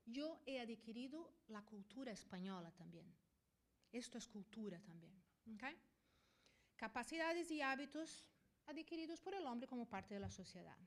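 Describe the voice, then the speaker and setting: A high-pitched middle-aged woman, a Portuguese native from Brazil, speaking Spanish with the accent she picked up living in Spain. She lectures at a conference in a teacherly way and keeps checking in with the audience. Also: expressive. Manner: didactic, often checks in with a quick "ok?". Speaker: veterinarian and university professor giving a talk. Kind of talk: conference presentation.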